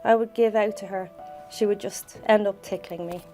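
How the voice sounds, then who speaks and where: A woman in her fifties with a Dublin accent gives a personal memorial tribute. Her pitch is average and her delivery is plain-spoken and reminiscing. Music plays behind her voice. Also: steady tone, normal speed. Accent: Dublin Irish. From Dublin, Ireland. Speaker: bereaved sister giving a tribute.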